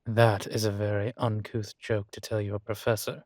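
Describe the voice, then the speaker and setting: A man acting as a composed, courteous college professor in an intimate audio roleplay, speaking with measured, formal politeness and dry wit. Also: lowish voice, flat delivery, a little mellow, no echo, lightly acted. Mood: amused, mildly scolding. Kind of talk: intimate scripted one-sided roleplay dialogue.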